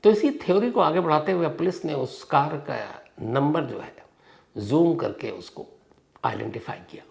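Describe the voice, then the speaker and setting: A man hosting a crime storytelling show, speaking conversationally with dramatic pauses, strong stresses and phrase endings that drop away. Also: gravelly. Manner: dramatic pauses and emphatic stresses, sentences trailing off low.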